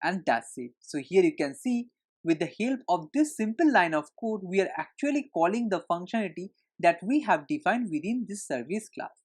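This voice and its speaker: Casting: female tutorial instructor with a somewhat low voice, walking learners through each step methodically. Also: lively intonation, normal speed, a little mellow, breathy edge. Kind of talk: explanatory screencast tutorial narration.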